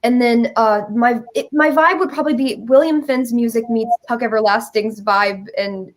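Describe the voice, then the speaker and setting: Young woman with a high voice, giggly. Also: steady tone, normal speed. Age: young adult. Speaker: interview guest, musical theater composer. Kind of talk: casual chat.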